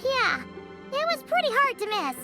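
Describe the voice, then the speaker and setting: A tiny, food-loving fairy companion from an anime game. The voice is extremely high, squeaky and childlike, with animated, exclamatory delivery over loud music. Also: female voice, moderate pace, exaggerated voice. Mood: perky. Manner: refers to self in third person, exclamatory. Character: tiny floating fairy companion girl, chirpy and greedy for food.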